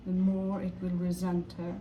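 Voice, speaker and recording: A woman from Norway with a Norwegian accent, giving an improvised tarot reading in a halting way, pausing and repeating her phrases. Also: fairly low pitch, monotone, dull audio, a little hiss or hum. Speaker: tarot reader.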